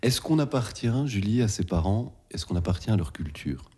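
A man interviews a guest about her personal life. His voice is deep and somewhat husky. He asks his questions gently and at a measured pace. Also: big pitch swings.

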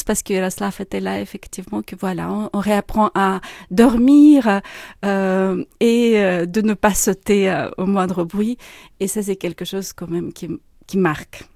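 A woman from Ukraine whose first language is Russian answers as a teacher in a measured, halting way, with plenty of fillers. Her voice sits at an everyday pitch. The recording is dry and close. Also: vocal fry, a little mellow, no echo. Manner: hesitant, many fillers. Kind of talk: interview answers.

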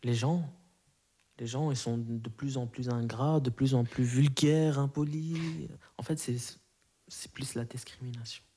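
Young man, hesitant, dark mellow voice. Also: mid-range voice, steady tone, measured pace, slightly rough. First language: Arabic. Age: 20s. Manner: hesitant, many fillers and restarts. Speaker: interviewee. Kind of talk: casual interview answers.

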